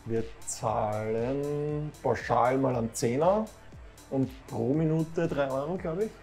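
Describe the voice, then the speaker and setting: A man in his thirties with an Austrian accent, a professional footballer, answering calmly and modestly. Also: medium pitch, slow pace, faint music behind. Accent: Austrian German. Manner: calm, modest, plain-spoken. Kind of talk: relaxed interview answers. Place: football club café with shelves, indoors.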